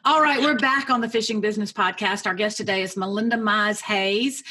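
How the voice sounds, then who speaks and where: A woman's voice: mid-range voice, brisk, pushed and bright. A woman hosting a podcast, upbeat and encouraging toward her guest. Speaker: podcast host. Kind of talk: casual interview hosting.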